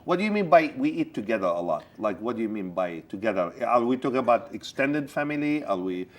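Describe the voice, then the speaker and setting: A man's voice: fairly low pitch, fast talker. A man hosting a community TV interview, encouraging and full of follow-up questions. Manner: asks many follow-up questions, lively and encouraging. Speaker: talk show host.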